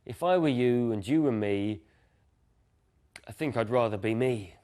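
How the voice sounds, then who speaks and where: A man's voice: medium pitch, unhurried, mellow, natural voice. A man voicing a small, bossy and imaginative girl in a children's picture-book story, in a firm, matter-of-fact way. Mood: firm, caring.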